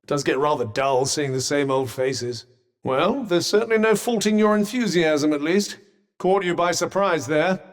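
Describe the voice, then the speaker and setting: A sardonic, condescending British magical armband from a fantasy video game, played by a man. The voice sits fairly high and swoops expressively through formal, fussily cautious understatement. A long reverb trails every phrase. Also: animated, moderate pace, natural voice. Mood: dry, sardonic. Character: sentient magical vambrace, wry, haughty, fussily cautious male companion. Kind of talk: video game character dialogue.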